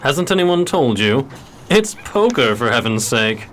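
An actor playing a suave cigar-smoking gentleman at a 1920s poker party in a radio play. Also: mid-range voice, sing-song, measured pace, slight background noise. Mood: blunt, sociable.

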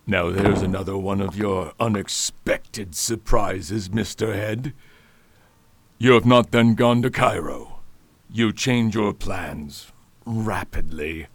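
A man playing a scheming villainous doctor, speaking in a silky, slow, quietly threatening way in a radio drama. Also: fairly low pitch. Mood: sly. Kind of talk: amateur radio drama acting.

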